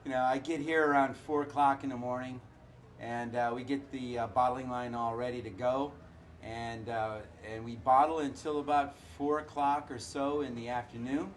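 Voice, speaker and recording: A hoarse middle-aged man, a winemaker, talking casually and haltingly with many filler uhs. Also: medium pitch, steady tone, a little hiss or hum. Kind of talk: casual chat.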